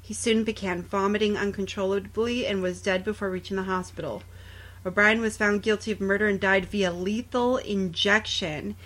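A woman's voice: medium pitch, steady tone, moderate pace, fairly bright tone, low-quality recording. A woman co-hosting a podcast, casually reading out true crime stories with sardonic, sometimes profane asides. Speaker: podcast co-host.

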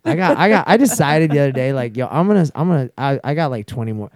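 A man's voice: on the high side, expressive, fast talker, darkish tone, no room sound. Laid-back slangy man, very dry sound. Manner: laid-back, slangy, lots of restarts and fillers. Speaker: guest skydiver. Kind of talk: casual chat.

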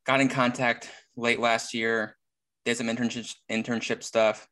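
A young man from Iceland, a fitness coach speaking as a podcast guest, who talks in a relaxed, hesitant way full of fillers. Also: mid-range voice, unhurried. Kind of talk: casual interview chat.

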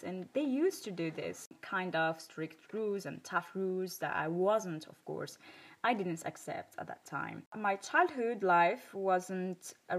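Expressive Moroccan-accented woman podcaster. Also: medium pitch. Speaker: self-improvement podcast host. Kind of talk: personal storytelling monologue.

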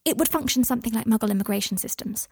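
A clever, kindly witch, voiced by a woman for an audiobook. She is brisk, articulate and bookish, with a slightly raised pitch and a faint huskiness in a dry recording. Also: a little mellow, husky, no room sound. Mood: businesslike. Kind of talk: audiobook character voice.

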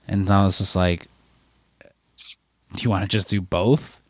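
A young man with a fairly low voice, congested from a lingering cold. He rambles through casual podcast chat with constant fillers and casual swearing. The sound is dry and close to the mic. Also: steady tone, unhurried, dry recording. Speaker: podcast co-host.

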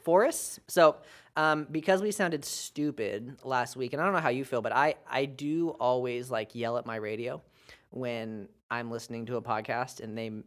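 A man's voice: medium pitch, moderate pace, fairly bright tone. An American man in his young adult years co-hosting a podcast, casual and self-deprecating with lots of fillers and laughs. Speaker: podcast co-host. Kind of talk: casual chat.

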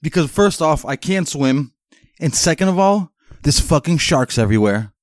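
A man's voice: a little high, expressive, moderate pace, no echo, clean studio sound. A young man from Massachusetts with a light Boston accent, co-hosting a podcast with crude, joking banter and reading news items loosely. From Massachusetts, North Shore. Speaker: podcast co-host.

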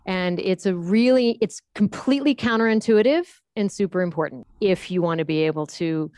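A woman explaining how breath shapes the voice in an interview, demonstrating with sudden high and low pitches. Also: normal speed, darkish tone, no room sound, clean studio sound. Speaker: voice coach.

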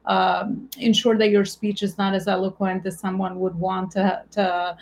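A woman with an Albanian accent, a native Albanian speaker, hosting an interview and asking questions in a warm, halting way with many fillers. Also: mid-range voice, level tone. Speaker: podcast host.

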